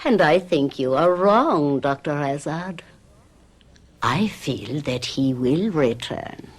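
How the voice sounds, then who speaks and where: A polite, knowing innkeeper in an old radio mystery. She speaks in a low voice, slowly and formally, with a hint of the uncanny. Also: animated. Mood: mysterious. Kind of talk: 1970s radio drama acting.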